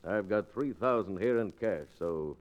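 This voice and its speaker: A man's voice: deep voice, lively intonation, unhurried, dull audio. A man playing a surly, menacing villain in an old-time radio Western, curt and threatening. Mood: surly, domineering.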